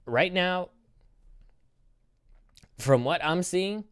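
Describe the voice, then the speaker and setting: A man in his thirties hosting a casual live stream, reacting in a rambling, profane, animated way. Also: high voice, extremely slow, clear, resonant tone. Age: 30s.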